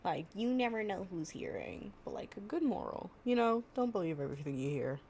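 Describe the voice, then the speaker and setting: A woman's voice: mid-range voice, lively intonation, brisk, darkish tone, slight background noise, lightly acted. A young woman playing an affectionate, chatty girlfriend in an improvised, intimate storytime roleplay, with a casual, slangy and playful way of talking. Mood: amused, casual. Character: affectionate, chatty girlfriend reading fables to her partner.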